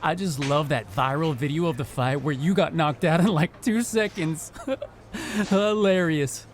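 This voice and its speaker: A man playing an eager, talkative young American rookie wrestler in a video game cutscene, chatty and excitable. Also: on the high side, measured pace, slight background noise, close to an everyday voice. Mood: excited, chatty.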